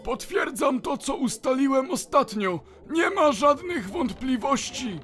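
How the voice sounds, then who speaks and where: A furtive informant for an audio drama. The voice is extremely high as it delivers a secret report. Soft music runs underneath. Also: male voice, expressive, a little slow, full character voice. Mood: secretive, certain. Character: furtive male spy voicing his written report. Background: background music.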